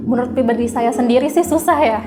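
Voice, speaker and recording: Young woman, slightly high voice. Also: fast talker, reverberant. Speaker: interviewee, worker. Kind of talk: polite casual interview answers.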